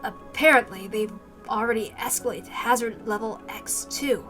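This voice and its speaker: A woman's voice: on the high side, moderate pace, fairly bright tone, faint music behind. A woman reading a visual novel aloud, informal and self-correcting, mixing narration with casual asides.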